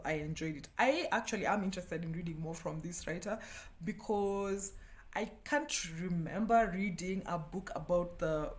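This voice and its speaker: A Kenyan woman, a native Kikuyu speaker, reviewing books in a casual, slangy, self-deprecating chat. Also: fairly low pitch. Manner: chatty, slangy, self-deprecating, breaking often into laughter. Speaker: book review vlogger.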